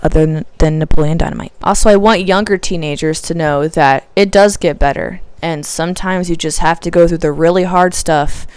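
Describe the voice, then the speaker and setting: A nineteen-year-old woman talks candidly to the camera in a casual vlog, laughing now and then. Her voice is smooth and clear, sitting a little low for a woman. The mic is close and dry, with almost no room sound. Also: brisk, hardly any pauses, a little mellow, dry recording. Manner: candid, confessional, laughs often. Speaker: YouTuber, film student. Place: small room, poorly lit.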